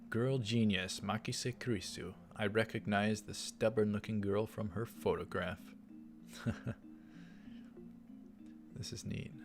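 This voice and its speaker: Low-pitched man reading over music. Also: steady tone, slow, long pauses. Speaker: let's play host. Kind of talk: reading a visual novel aloud with casual asides. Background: game music.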